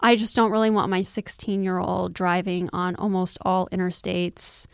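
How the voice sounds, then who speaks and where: Clear-voiced woman reporter explaining, close dry sound. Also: lowish voice, level tone, moderate pace, no echo. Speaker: news reporter, interview guest. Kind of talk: conversational explanatory news discussion.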